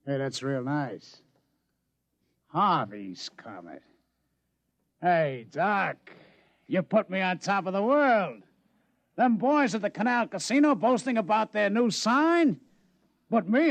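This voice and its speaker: An actor playing a tough, blunt gangster who runs a casino on Mars, in 1950s radio drama style. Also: male voice, sits high, very animated, slow, vocal fry, long pauses, no room sound, exaggerated voice. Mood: delighted. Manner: tough, blunt, streetwise talk. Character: brash gangster casino owner on Mars, threatening. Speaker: gambling club boss.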